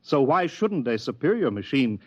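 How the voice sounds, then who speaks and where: An American actor in a 1950s radio drama playing a fussy, unctuous older adviser who wheedles and stammers pompously. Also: male voice, medium pitch, sing-song, moderate pace. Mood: flustered, scandalized. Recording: dull vintage 1950s radio recording.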